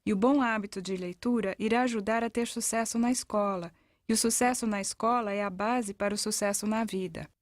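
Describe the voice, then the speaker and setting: A woman's voice: medium pitch, fairly even intonation, brisk, dry recording, clean studio sound. A woman with a Brazilian accent reading a scripted narration for an educational video.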